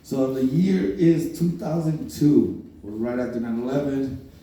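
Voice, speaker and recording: A man's voice: mid-range voice, unhurried, dark tone. A man from Puerto Rico with a Puerto Rican accent and Spanish as his first language, doing a rambling, self-deprecating first stand-up set. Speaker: stand-up comedian. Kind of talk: open-mic stand-up set. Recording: distant, roomy live recording.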